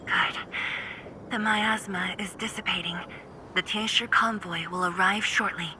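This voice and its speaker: An actress playing an authoritative female mission coordinator in a video game, measured and official. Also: medium pitch, steady tone, bright, forceful tone, slight background noise, poor audio. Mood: composed, businesslike.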